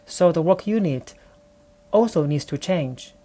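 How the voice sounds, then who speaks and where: A woman's voice: fairly low pitch, animated, unhurried, mellow. A woman from China with a Chinese accent, speaking English as she tells a personal story on her own podcast in a reflective, careful way. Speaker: podcast host.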